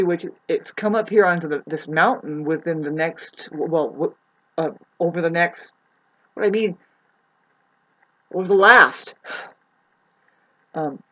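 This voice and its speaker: A woman with a husky voice talking casually in a meandering, halting monologue, often trailing off mid-thought. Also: lowish voice, fairly bright tone, highs cut off. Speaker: vlogger. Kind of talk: rambling spoken monologue, casual chat.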